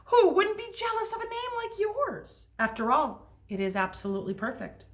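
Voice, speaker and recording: Woman acting a storybook parent, high pitch, singsong, doting, gushing, reassuring. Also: animated, normal speed.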